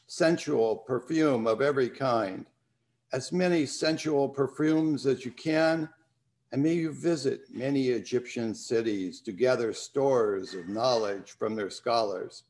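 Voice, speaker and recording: A man's voice: medium pitch, darkish tone. An older man hosting from his home study over a video call, talking in a reflective way and reading a poem aloud. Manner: unhurried, reflective. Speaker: host. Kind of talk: casual talk and poetry reading. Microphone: video call webcam.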